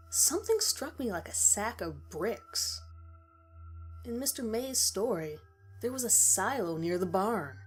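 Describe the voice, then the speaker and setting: A woman's voice: medium pitch, breathy edge. Woman narrating a story over music. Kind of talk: scripted first-person story narration. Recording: music bed under the voice. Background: background music.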